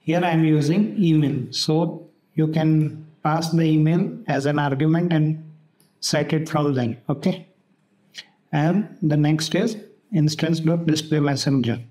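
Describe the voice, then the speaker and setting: Male tutorial host, slightly high voice, very unhurried, halting step-by-step screen narration. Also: steady tone, darkish tone. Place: voice-over for a screen recording.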